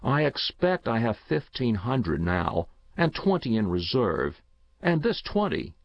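A man reads as a serious young boy from a storybook, polite and quietly sure of himself. The delivery is lilting and unhurried, earnest and confiding, with a close, dry sound. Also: mid-range voice, very animated, no room sound, muffled recording. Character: grave, earnest young boy with secret certainty. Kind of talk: storybook character reading.

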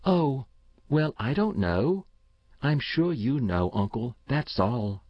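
A man's voice: mid-range voice, very animated, takes it slowly, no room sound, highs cut off, pitched above the performer's own voice. A man reading aloud, voicing an eager, anxious young boy who is secretive and intense. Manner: earnest, pleading.

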